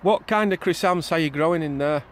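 Guy behind the camera, slightly high voice, asking curious simple questions, really casual. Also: quick pace, slight background noise. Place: allotment greenhouse. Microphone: handheld camera, held by the speaker.

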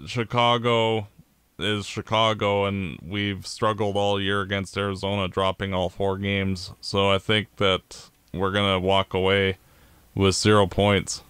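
A man co-hosting a casual sports podcast, talking in a gloomy, measured way. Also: low-pitched, flat delivery, a bit bright, clean tone, no room sound. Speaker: podcast co-host.

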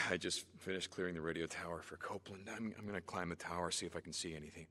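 An actor playing a gruff, world-weary American biker drifter in a video game, muttering and sardonic. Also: male voice, low voice, steady tone, quick pace, background noise, natural voice. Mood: defensive, resigned. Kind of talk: naturalistic video game acting.

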